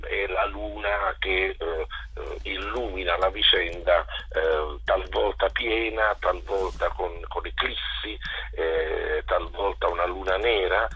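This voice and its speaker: A man with a husky voice, explaining his work as a theatre director in an interview, hesitantly searching for words. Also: sits low, sharp tone, no room sound, rough recording.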